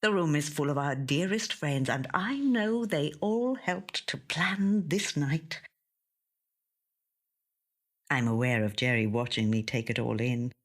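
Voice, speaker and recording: Slow-paced woman reading a story. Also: low-pitched. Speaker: actor reading a short story. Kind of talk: scripted literary narration, first person. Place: audio studio.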